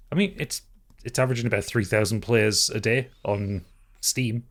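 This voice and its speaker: A man discussing games casually as a podcast co-host, reasoning through his points in a measured way. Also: lowish voice.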